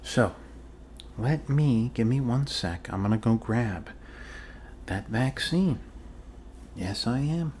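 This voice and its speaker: A man plays a goofy, reassuring doctor in an improvised ASMR roleplay. He talks slowly in a mid-pitched voice, rambling and joking casually. There is faint background noise on the recording. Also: animated, a little mellow.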